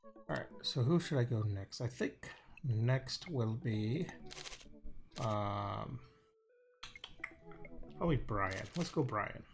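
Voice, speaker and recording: A man's voice: medium pitch, animated, takes it slowly, vocal fry, long pauses, a little hiss or hum. A man livestreaming a game, casually reading dialogue aloud and sometimes stumbling over the lines in an informal, hesitant way. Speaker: game streamer. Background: game music.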